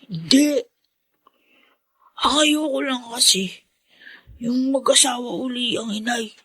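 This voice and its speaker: A woman's voice: on the high side, slow, fairly bright tone, slightly rough, no room sound, heavily acted. A woman playing an earnest, worried child in a Filipino radio drama, speaking in a hesitant, pleading way. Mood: troubled, hesitant. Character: earnest, worried young child Benji, loyal to mother.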